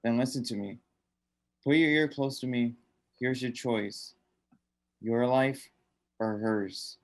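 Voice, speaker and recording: A man's voice: medium pitch, takes it slowly, close to an everyday voice. An actor playing a sinister undead old man in a radio drama, coaxing and menacing with insistent, repeated commands.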